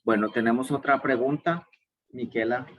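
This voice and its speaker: A man's voice: mid-range voice, moderate pace, no echo. A man moderating an online student forum, politely handing over turns.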